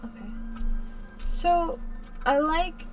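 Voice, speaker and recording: A woman's voice: very high voice, extremely slow, soft background music. A woman giving casual, hesitant gameplay commentary as a streamer, with offhand reactions. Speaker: video game streamer. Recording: distant mic with faint background noise.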